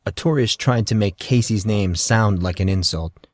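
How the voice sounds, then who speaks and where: A man's voice: lowish voice, moderate pace, dry recording. A man reading scripted fantasy fiction as an audiobook narrator.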